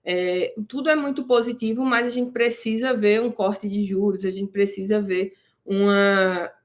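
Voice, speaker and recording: A woman host talking through crypto and interest-rate news in a relaxed, conversational way, explaining jargon plainly and often checking in with her listeners. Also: medium pitch, unhurried. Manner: explains terms plainly for beginners. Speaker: crypto market commentator. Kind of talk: casual market analysis to camera.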